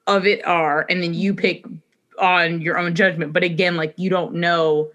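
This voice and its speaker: A woman's voice: fairly low pitch, fairly even intonation, moderate pace, a bit bright. A woman in her late twenties from New York, explaining things casually as a podcast guest, often saying "like". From New York, United States. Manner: conversational, peppered with "like". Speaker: podcast guest, adulting brand creator. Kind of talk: casual explanatory interview chat.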